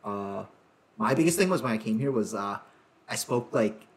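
A man from Sri Lanka with a slight British-influenced accent, a podcast guest in casual chat who talks in a relaxed, thoughtful way full of "like". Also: lowish voice, normal speed. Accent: slight British-influenced English.

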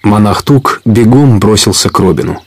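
Low-voiced male storyteller, very dry sound. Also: moderate pace, no room sound. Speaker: narrator. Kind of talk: scripted audio play narration.

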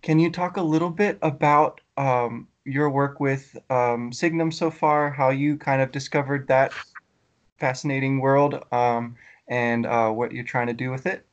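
A man's voice: mid-range voice, steady tone, a little slow, muffled recording. A man hosting an interview, asking questions hesitantly with many ums and uhs. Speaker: podcast host.

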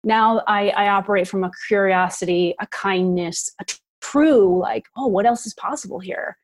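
A woman in her forties speaks as a guest on a conversational show. She talks in a candid, reflective way, speeding up in bursts and laughing now and then, at a middle pitch. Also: moderate pace. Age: 40s. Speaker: podcast guest. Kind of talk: casual interview chat.

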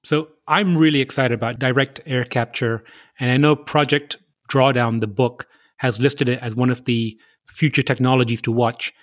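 A man's voice: mid-range voice, fairly even intonation, moderate pace. A man from Southeast London hosting a podcast, reading a guest introduction and chatting in a friendly, conversational way. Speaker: podcast host. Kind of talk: podcast interview.

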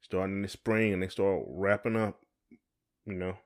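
Low-voiced man, casual podcast co-host. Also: little pitch movement, moderate pace. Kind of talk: casual conversational podcast chat.

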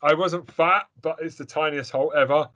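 A man's voice: a little high, fairly even intonation, moderate pace, lo-fi sound, background noise. A young man co-hosting a podcast, chatting about a film in an opinionated, rambling way with lots of fillers and repeated words. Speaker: podcast co-host. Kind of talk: casual chat reviewing a film.

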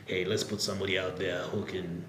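A man from Nairobi, Kenya, telling his story as a podcast guest in a relaxed, reflective way, laughing easily. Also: low-pitched, a little fast, noisy background. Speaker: podcast guest, startup executive. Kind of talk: casual interview storytelling. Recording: roomy sound with faint ambience.

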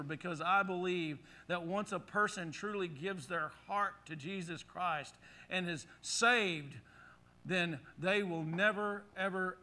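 A middle-aged male pastor preaching a sermon in a church, leading the congregation in call-and-response with animated, forceful delivery. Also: high-pitched. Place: church sanctuary. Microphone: handheld or headset mic.

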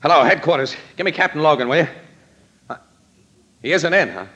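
An actor playing an easygoing, slangy American crime photographer with a wry, breezy manner in an old radio drama. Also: male voice, a little high, lively intonation, brisk, a bit bright, highs cut off, slight background noise. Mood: brisk, triumphant.

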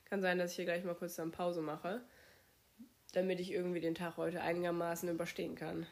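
A woman's voice: on the low side, flat delivery, fairly quick. A young German woman hosting her podcast while she has a cold, her voice strained, chatting casually with many fillers. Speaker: podcast host. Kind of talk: casual solo podcast chat.

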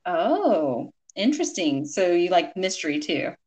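A woman hosts a podcast interview in a friendly, encouraging tone, with a slightly low voice. She affirms her guest often and moves briskly to the next question. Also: sing-song, darkish tone. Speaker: podcast host.

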